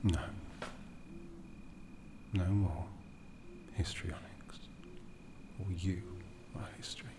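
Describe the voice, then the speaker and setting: A man voicing an ancient, aristocratic vampire lord in a breathy half-whisper for an ASMR roleplay, menacing, world-weary and condescending, with deliberate theatrical phrasing. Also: low voice, fairly even intonation. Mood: condescending, threatening.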